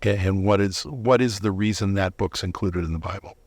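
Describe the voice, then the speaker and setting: A man's voice: low-pitched, fairly quick, husky. An American man from Minnesota speaking as a studio interview guest, casual and thoughtful, often searching for his words. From Minnesota, United States. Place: recording studio.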